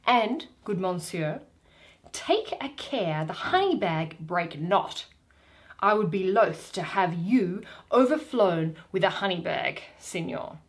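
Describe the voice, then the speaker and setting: A woman's voice: on the low side, animated, slow, pitched below the performer's own voice. Woman playing pompous donkey-headed man, swooping delivery. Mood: bossy, fussy. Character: Bottom, bumbling self-important man, part donkey, hungry and sleepy.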